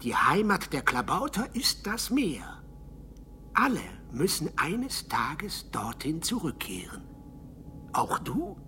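A woman's voice: big pitch swings, takes it slowly, fairly bright tone, full character voice. A woman playing a proud, wistful male sea kobold in a children's radio play. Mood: solemn, wistful. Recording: faintly noisy 1970s radio recording, rumble.

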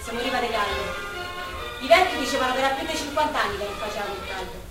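An actress as a childlike, unhinged young woman from the Roman streets, in a high voice and a theatrical dialect. She sounds like a stage drama heard from far off in a very echoey space. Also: animated, fast, lightly acted. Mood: nostalgic. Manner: theatrical, childlike, breaking into song. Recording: distant, echoey stage recording with music.

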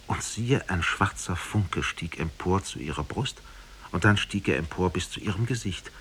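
A man's voice: low-pitched, lively intonation, normal speed, a bit bright. An actor playing a grieving man who has stopped laughing, telling his tale in solemn, mournful 1950s radio drama style, on hissy old tape. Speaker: storytelling character in a radio play. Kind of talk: 1950s German radio drama, literary fairy-tale narration.

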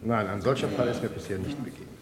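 An actor playing an experienced, formal medical examiner giving exact clinical testimony in a German radio crime drama. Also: male voice, on the low side, quick pace, crowd noise, close to an everyday voice. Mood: matter-of-fact. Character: experienced medical examiner testifying, precise, matter-of-fact man. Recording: echoey courtroom sound, faint noise.

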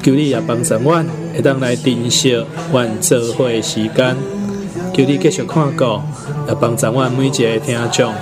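Taiwanese pastor praying over loud music. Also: male voice, mid-range voice, steady tone, moderate pace. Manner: solemn, pleading. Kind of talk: spoken prayer.

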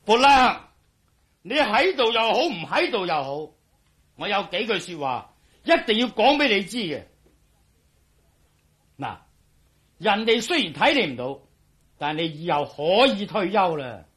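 An actor playing a worried, blustery police officer in a radio drama, speaking Hong Kong Cantonese with emphatic, sighing delivery. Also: male voice, high-pitched, sing-song, a little slow, pauses often, fairly bright tone, husky, heavily acted. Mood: tense, defiant.

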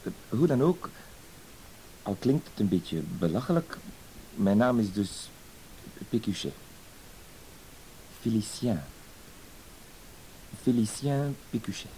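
A low, soft-toned voice with some hiss behind it, from an old radio play. a timid, self-deprecating man who hesitates and stammers. He is painfully polite yet quietly insistent. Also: expressive, slow pace, pauses often, low-quality recording. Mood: self-deprecating, awkward.

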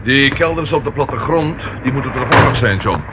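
An actor playing a curious, puzzled police colleague on a search in a radio play. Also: male voice, mid-range voice, animated, brisk, natural voice. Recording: muffled, noisy old radio drama recording.

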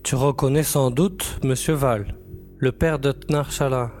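Slow, formal officer played by a man, music behind. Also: mid-range voice, fairly even intonation, a little mellow, natural voice. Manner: formal, deliberate. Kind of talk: science-fiction audio drama acting.

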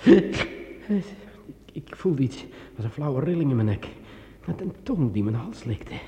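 An actor playing a jittery swordsman in a radio drama, talking to himself in halting, repeated phrases. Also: mid-range voice, big pitch swings, highs cut off. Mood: frightened, agitated. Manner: agitated self-talk, halting and repeating words. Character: jittery man with a sword alone in a dark cellar, muttering to himself. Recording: echoey, with loud music behind.

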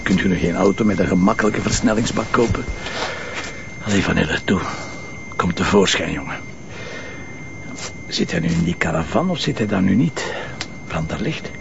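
A man's voice: deep voice, animated, normal speed, creaky, long pauses, highs cut off, noisy background. A man with a Flemish accent playing a headstrong, impatient police commissioner in a TV crime drama, speaking bluntly and emphatically. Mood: irritated. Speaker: lead actor in a police series. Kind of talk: naturalistic TV crime drama acting.

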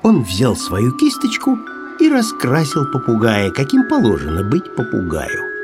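Hugely animated children's story narrator. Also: medium pitch, measured pace, music in the background.

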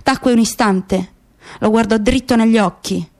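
A woman narrating a literary story in a measured, earnest, dramatic radio-theatre style. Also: on the high side, darkish tone.

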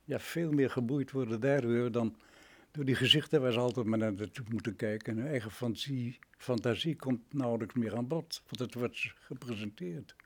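A man, speaking reflectively and haltingly as an interview guest, often agreeing emphatically. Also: mid-range voice, slight background noise. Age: nineties, almost a hundred. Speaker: interview guest, retired radio drama director. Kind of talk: reminiscing interview conversation.

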